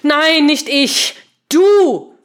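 A woman's voice: high voice, lively intonation, moderate pace, pitched above the performer's own voice. Woman acting a fiery, exasperated woman programmer. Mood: furious, shouting. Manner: emphatic, confrontational.

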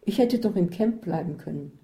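A woman reading a first-person story aloud in a measured, descriptive way. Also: lowish voice, animated, warm tone. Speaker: narrator.

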